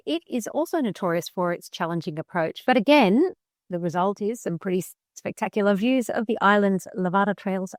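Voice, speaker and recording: A woman co-hosting a travel podcast, chatting in a lively, playful, emphatic way. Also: medium pitch, dry recording, clean studio sound. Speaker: podcast co-host. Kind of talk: casual chat.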